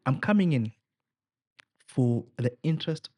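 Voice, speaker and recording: A man's voice: medium pitch, lively intonation, a little slow, darkish tone, no echo. Young Kenyan male guest, earnest campaign tone, dry close sound. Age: young adult. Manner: rallying, earnest, mixes Swahili phrases. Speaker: political aspirant guest. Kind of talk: political interview.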